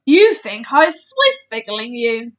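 A woman voicing a gentle, proud, excitable giant in a storybook, with quaint, ungrammatical speech and muddled invented words. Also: on the high side, unhurried, muffled recording. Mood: offended, indignant. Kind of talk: storybook character voice.

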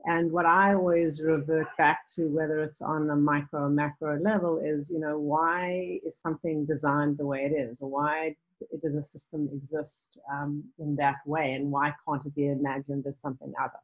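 A middle-aged woman speaks on a video call from a room lined with bookshelves. Her voice is low and husky, and she works through her answers thoughtfully, with ums and restarts along the way. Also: flat delivery, moderate pace, rough recording. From South Africa. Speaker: guest, nonprofit founder.

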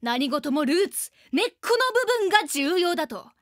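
A woman's voice: extremely high pitch, big pitch swings, normal speed, dry recording, clean studio sound. A woman playing a cocky, eccentric, bossy teenage girl in a radio comedy drama, making blunt, grandiose declarations. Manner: blunt, grandiose declarations, third-person self-reference.

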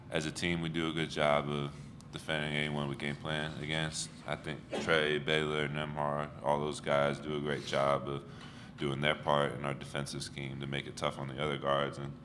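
A young college basketball player gives short, understated answers into a table mic. His voice is very deep and nearly monotone. Room noise stays steady under him. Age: young adult. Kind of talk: press conference answers. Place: press conference room with backdrop.

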